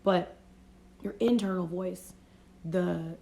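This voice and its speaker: Mellow-voiced woman coaching with a cold. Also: on the low side, slow pace, creaky, slight background noise. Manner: conversational, reflective, repeats and rephrases. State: has a cold, voice fading. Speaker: life coach. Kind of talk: motivational casual talk. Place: home livestream. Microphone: phone livestream.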